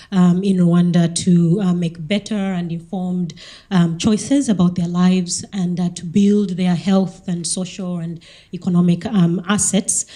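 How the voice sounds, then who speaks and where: A woman in her thirties from Uganda, speaking as a conference panelist with the sniffles, halting often with ums and uhs. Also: on the low side, warm tone. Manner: hesitant, many ums and uhs. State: has the sniffles. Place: conference hall.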